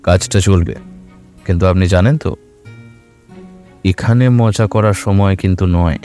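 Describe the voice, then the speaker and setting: A man playing a serious, confident detective in an audio drama, speaking in a measured, firm way. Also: sits low, warm tone, faint music behind. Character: serious, steady male detective, confident leader. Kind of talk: Bengali audio drama acting.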